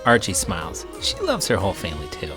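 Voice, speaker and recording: An American man reading a children's storybook aloud in an animated, theatrical way and adding his own commentary. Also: low-pitched, fast talker. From Iowa, United States. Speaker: children's book read-aloud storyteller and teacher. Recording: music playing behind the voice.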